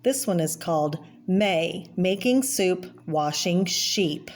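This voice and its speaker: A woman with a slightly low voice reads a picture book aloud for children. She explains as she goes, pointing out details and putting questions to the listener. Background noise sits behind her voice. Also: expressive, slow pace. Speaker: read-aloud storyteller.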